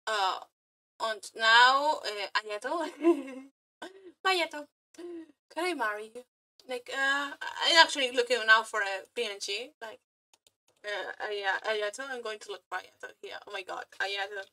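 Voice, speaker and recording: A woman streamer chatting casually to viewers in a gushing, flirty fangirl manner, halting and repeating her words as she goes. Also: fairly high pitch, sharp tone. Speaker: aspiring VTuber and streamer. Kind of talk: casual reaction chat to viewers.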